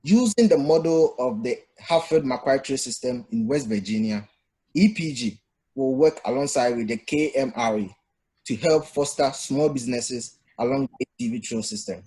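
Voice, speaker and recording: A young man with a Ghanaian accent delivers a student presentation. His voice is mid-pitched and a little husky, with a prepared delivery. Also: moderate pace, warm tone. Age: young adult.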